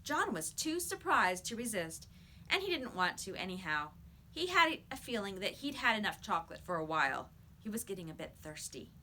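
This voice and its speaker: A woman's voice: mid-range voice, big pitch swings, moderate pace, bright, forceful tone, a little hiss or hum. A woman reading a children's story aloud like a teacher, with emphatic, dramatic storytelling.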